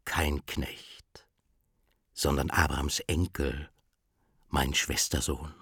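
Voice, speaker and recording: An actor playing a gruff, suspicious grey-bearded old uncle in a literary radio drama, husky and guarded. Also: male voice, extremely low pitch, fairly even intonation, slow pace, fairly bright tone, no echo, clean studio sound, exaggerated voice. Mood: wary, grudging.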